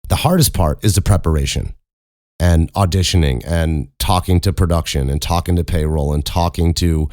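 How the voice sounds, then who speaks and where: Young guy with a super low voice rambling thru a q and a, cussing, poking fun at himself, dead dry room. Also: normal speed, darkish tone, no room sound. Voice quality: very low speaking voice. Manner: rambling, self-mocking, swears casually. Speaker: podcast host and voice actor. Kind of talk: casual Q and A chat.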